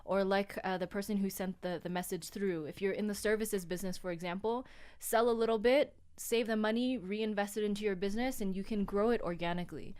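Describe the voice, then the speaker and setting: A woman guest on a radio show, calmly explaining business funding in a relaxed, explanatory way and spelling things out slowly. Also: mid-range voice, smooth. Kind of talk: radio studio chat.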